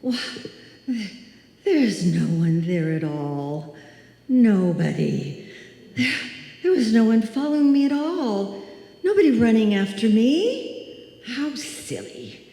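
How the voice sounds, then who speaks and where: A woman's voice: on the high side, sing-song, takes it slowly, long pauses, dark tone, exaggerated voice. A woman acting a frightened woman alone at night, talking to herself in a live old-time radio suspense play. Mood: relieved, reassuring herself. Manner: breathless pleading and self-soothing, theatrical. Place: live stage performance in a hall. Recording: echoey live hall, music behind.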